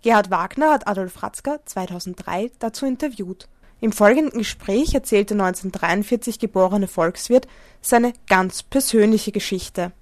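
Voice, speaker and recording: A woman's voice: mid-range voice, no room sound. Woman radio host, scripted intro, dry close-mic sound. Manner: clear, measured announcing. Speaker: radio magazine presenter.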